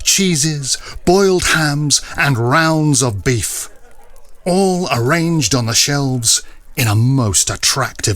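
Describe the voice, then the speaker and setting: A man's voice: mid-range voice, a bit bright, no room sound. A man narrating a classic story as scripted, dramatised literary storytelling.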